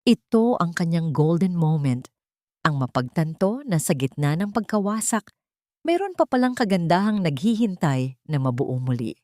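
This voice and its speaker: A woman with a Filipino accent narrates a sentimental drama in a fairly low voice. Her delivery is measured and emotive, a scripted storytelling read. The sound is very dry, with no room around it. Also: sing-song, a little mellow, dry recording.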